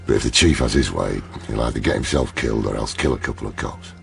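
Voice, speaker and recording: An actor playing a gruff, blunt British detective inspector in a naturalistic radio drama. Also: male voice, quick pace, exaggerated voice. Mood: grim, resolute. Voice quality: very deep, gravelly. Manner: blunt, sweary, matter-of-fact. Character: gruff, tough, pragmatic male police detective inspector.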